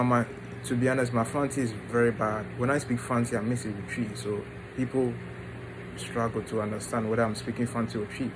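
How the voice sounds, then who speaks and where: Man speaking haltingly over background noise. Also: medium pitch. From Ghana and the United States. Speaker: vlogger introducing his book. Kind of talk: informal personal storytelling to camera.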